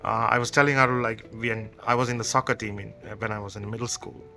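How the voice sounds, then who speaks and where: A man's voice: lowish voice, steady tone, quick pace, vocal fry, sharp tone. A man, answering interview questions as a company co-founder in a thoughtful, conversational way. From Sri Lanka. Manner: thoughtful, conversational, explains with examples.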